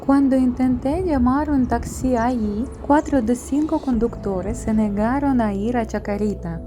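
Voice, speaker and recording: A woman narrating a travel vlog in a slow, deliberate voice-over, each phrase carefully placed as if rehearsed many times. Her tone is mellow and relaxed, and her pitch sits in a middle range. Faint music plays beneath her. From outside Paraguay. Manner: slow, careful, rehearsed delivery of a memorized translated script. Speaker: travel vlogger. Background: faint background music.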